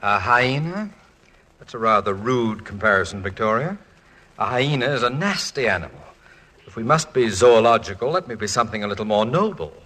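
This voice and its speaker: An actor playing a fretful, erudite college president and husband in 1940s radio comedy, dry, eloquent and mock-pompous. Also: male voice, mid-range voice, lively intonation, fairly quick, sharp tone. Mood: mock offended.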